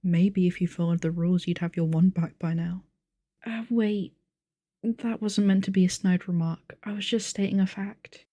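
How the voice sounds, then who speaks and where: A woman's voice: fairly low pitch, steady tone, moderate pace, warm tone, no room sound, close to an everyday voice. A woman playing a strict, blunt game master of a nonhuman species, matter-of-fact and pedantic, in an ASMR audio roleplay. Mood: defensive. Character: strict, blunt, rule-following game master with sensitive ears, female nonhuman.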